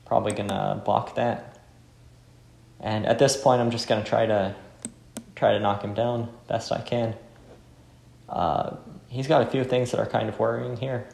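Creaky-voiced man commenting on a game. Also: lowish voice, little pitch movement, normal speed, long pauses, a little mellow, slight background noise. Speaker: game playthrough host. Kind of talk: casual gameplay commentary. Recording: roomy with faint music.

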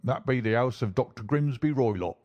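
A man's voice: medium pitch, animated, a little fast, dry recording, muffled recording, pitched above the performer's own voice, close to an everyday voice. A man voicing a plain, helpful rustic English cart driver, folksy and deferential, in a storybook reading.

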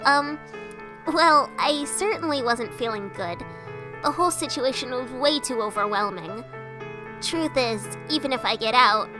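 A woman voicing a small, earnest, boyish skeleton hero in a comic dub, youthful and hesitant, anxious but kind. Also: high voice. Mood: unsure.

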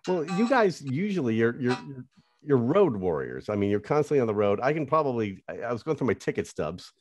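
A man's voice: medium pitch, very animated, darkish tone, faint music behind. A man in his fifties, a radio host, asking eager questions in a video-call interview. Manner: quick, eager fan questions. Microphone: studio mic on video call.

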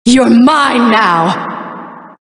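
An actress playing a seductive, predatory spider monster and proud brood mother in a video game, with a gloating, drawn-out delivery. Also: a little high, lively intonation, fairly bright tone, heavily acted. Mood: gloating, menacing. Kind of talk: video game character voice lines. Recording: echoey hall-like reverb.

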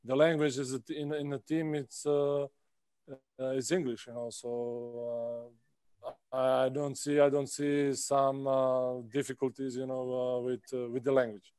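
A man in his thirties with a Czech accent talks haltingly, stopping and restarting his sentences. His voice is smooth and clean, recorded close and dry on a laptop mic at home. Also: medium pitch, flat delivery, a little mellow, no echo. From Czech Republic. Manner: halting, many "uh" pauses and restarts. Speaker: guest basketball player. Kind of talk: casual interview answers. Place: indoor room at home. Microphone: video call laptop mic.

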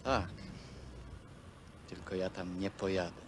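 An actor playing a resigned, guarded young worker from the country, terse and reticent, in naturalistic Polish film dialogue. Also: male voice, deep voice, fairly even intonation, takes it slowly, noisy background. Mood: guarded, flat. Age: young adult. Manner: terse, reticent, short broken phrases.

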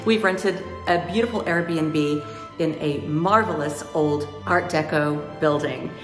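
A woman's voice: sits low, takes it slowly, reverberant, in a large hall, over music. A woman hosting a travel vlog, chatting playfully and gushing as she narrates. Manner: playful, laughs often, gushing descriptive narration. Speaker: travel vlogger. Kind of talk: casual travel vlog chat and voice-over.

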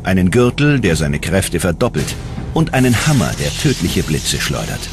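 Documentary narrator, male, low voice, measured dramatic scripted read over prominent music. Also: very animated. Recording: loud music under the voice. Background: loud background music.

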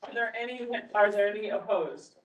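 A woman's voice: medium pitch, normal speed, narrow, tinny audio. A woman chairing a board meeting, moving through procedural votes. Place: hybrid community board meeting room. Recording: distant mic in meeting room.

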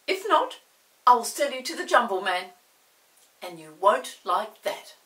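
A woman's voice: a little high, very animated, measured pace, pushed and bright, recorded from a distance, voice pushed up, full character voice, poor audio. A woman voicing a bossy, stern little pixie in a children's storybook, scolding and emphatic.

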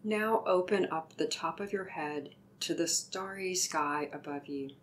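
A woman leading a guided meditation with soothing, deliberate, gently instructive delivery. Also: low voice.

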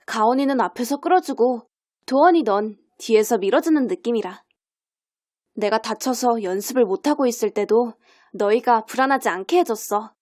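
Caring, determined teenage girl trainee, woman acting for audio drama: fairly high voice, earnest, measured delivery. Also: a little mellow. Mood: gentle. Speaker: amateur voice actor.

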